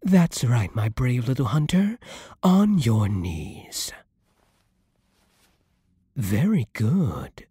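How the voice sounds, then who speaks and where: A man voicing a centuries-old, possessive vampire lord in an ASMR roleplay, silky and commanding with a breathy voice that often sinks into whispers. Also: mid-range voice, big pitch swings, mellow, dry recording, studio quality, heavily acted. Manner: slow, theatrical, silky, commanding, condescending. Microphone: close studio microphone, dry.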